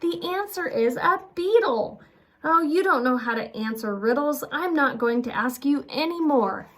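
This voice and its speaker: A woman voicing an exasperated, bossy male bear from a children's storybook, indignant and prone to shouting. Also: high-pitched, lively intonation, moderate pace, pitched above the performer's own voice.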